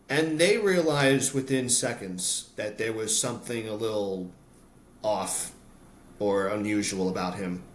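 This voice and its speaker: An American man talking conversationally as an interview guest, telling personal stories. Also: mid-range voice. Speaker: interview guest, announcer and voice-over artist. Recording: roomy echo, noticeable background noise.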